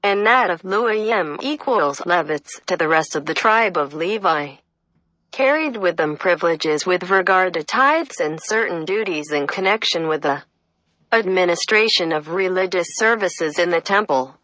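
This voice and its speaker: A woman reading a reference text aloud, deliberate and flat, spelling out citations. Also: lowish voice, no room sound, poor audio. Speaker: reader.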